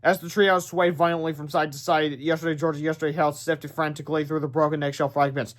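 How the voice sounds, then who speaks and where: A man with a fairly high voice narrates a children's book. He tells it dramatically, stumbles on a word here and there, and drops in off-the-cuff asides. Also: little pitch movement, fast talker. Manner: dramatic storytelling, stumbles over words. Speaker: read-aloud narrator. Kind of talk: animated children's book read-aloud with asides.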